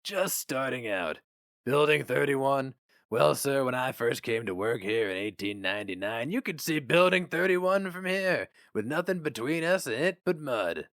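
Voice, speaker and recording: A man's voice: medium pitch, lively intonation, normal speed, fairly bright tone, no room sound, studio quality, pitched above the performer's own voice. A man voicing a talkative, kindly old company veteran, folksy and wistful. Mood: wondering, nostalgic. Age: older.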